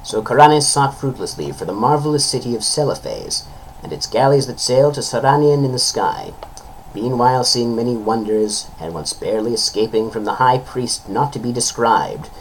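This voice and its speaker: A man's voice: mid-range voice, a little hiss or hum, poor audio. A man giving a solemn, formal dramatic reading of a literary tale, drawing out his phrase endings. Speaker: narrator, reader of a story.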